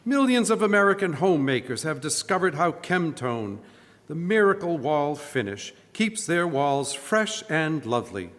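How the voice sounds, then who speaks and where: Man playing a animated 1940s-style radio announcer. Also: on the high side, moderate pace. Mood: salesmanlike. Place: church, live stage performance. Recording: live in echoey hall.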